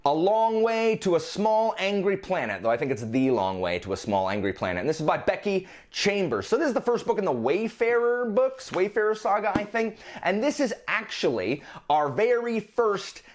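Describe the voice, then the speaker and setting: A man with a fairly high, very lively voice talks as a vlog host. His delivery is chatty and informal, swinging widely in pitch. He drops in playfully exasperated asides as he goes. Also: moderate pace, few pauses, a little hiss or hum. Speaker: book vlogger, channel host. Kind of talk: casual chatty monologue about a reading list.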